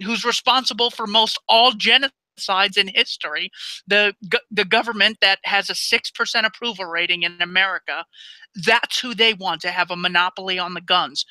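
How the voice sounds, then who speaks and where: A man's voice: sits high, bright, forceful tone, no room sound, rough recording. A man hosting an interview, stumbling on restarts at times but speaking emphatically and with firm opinions. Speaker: interview host. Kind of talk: casual interview conversation.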